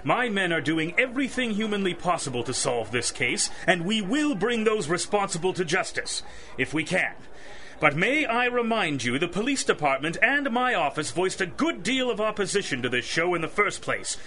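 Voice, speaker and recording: A man's voice: high voice, big pitch swings, moderate pace, bright, forceful tone, exaggerated voice. A man playing a blustery, defensive police chief in 1930s-style radio drama, officious and blunt. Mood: defensive, stern.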